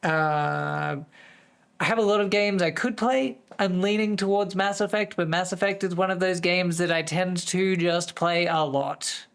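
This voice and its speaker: A streamer with a voice that is going hoarse, chatting casually to viewers in a distracted, rambling way. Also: medium pitch, fairly even intonation, measured pace. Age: adult, working full-time. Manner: easily distracted, rambling with many restarts. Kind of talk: casual live-stream chat.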